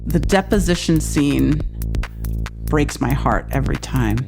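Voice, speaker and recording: Creaky-voiced woman professor. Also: deep voice, animated, unhurried, over music. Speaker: professor, expert commentator. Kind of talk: conversational literary commentary.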